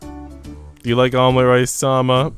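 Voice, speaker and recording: A man's voice: mid-range voice, completely flat delivery, a little slow, soft background music. A young man, rambling informally as he livestreams a visual novel, switching between slangy chat and reading aloud.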